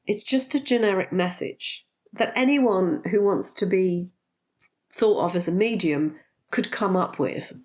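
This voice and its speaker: A woman's voice: medium pitch, a little mellow. A middle-aged woman, a bit congested from a cold, talking candidly to her viewers with frequent ums. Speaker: spiritual teacher and vlogger. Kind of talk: casual talk to camera.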